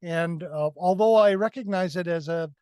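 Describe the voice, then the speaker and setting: A man's voice: sits high, moderate pace, darkish tone, clean tone, dry recording, clean studio sound. A man giving a conversational film critique as a review panelist, emphatic and repeating phrases for effect.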